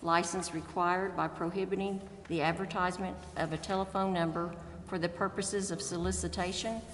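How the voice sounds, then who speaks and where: A woman's voice: lowish voice, slight background noise. Woman chairing a meeting in an echoey chamber. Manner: steady, measured reading of legal text. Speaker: presiding council official. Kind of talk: formal meeting procedure, reading agenda items. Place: council chambers. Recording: echoey chamber with long reverb.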